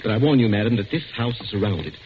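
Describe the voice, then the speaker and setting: An actor playing an urbane, witty English aristocratic detective in a 1940s radio drama, with a breezy, ironically polite British manner. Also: male voice, lowish voice, lively intonation, fast talker, lightly acted. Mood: cool, courteous. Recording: muffled, noisy 1940s radio recording.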